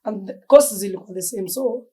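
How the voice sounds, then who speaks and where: A woman's voice: medium pitch, a little fast. A young Kenyan woman with a Kenyan accent, a podcast guest in casual chat, easily mixing slang and English. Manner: relaxed, mixes Swahili slang and English.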